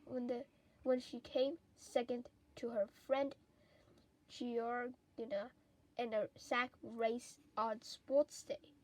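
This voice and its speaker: A woman with a high voice reads a children's story aloud. She takes her time over the words, very slowly and deliberately, giving the narration an expressive shape as a storyteller would. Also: poor audio.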